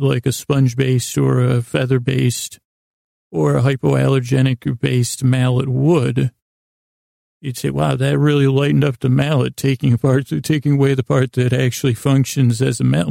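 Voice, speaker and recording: Very creaky, meandering male podcast host. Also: medium pitch, level tone, mellow, dry recording, clean studio sound. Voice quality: gravelly, creaky. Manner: meandering, halting, with long pauses and self-corrections; self-deprecating, silly. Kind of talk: rambling bedtime-story podcast monologue.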